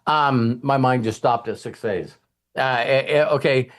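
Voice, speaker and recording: A man's voice: medium pitch, a little slow. A man hosting a casual motivational talk to a group, speaking informally and checking in with his listeners.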